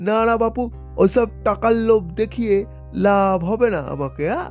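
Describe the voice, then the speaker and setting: A man voicing a blunt, excitable village fishmonger in a cartoon, quick to take offence. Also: high voice, soft background music. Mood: indignant. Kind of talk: cartoon dialogue.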